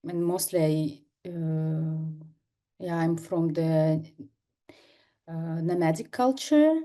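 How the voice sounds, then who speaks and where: A young adult woman from northern Kazakhstan, a native Kazakh speaker, giving a seminar lecture over a video call, halting with many fillers and restarts. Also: low voice, mellow. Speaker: guest lecturer, artist. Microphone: computer mic on video call.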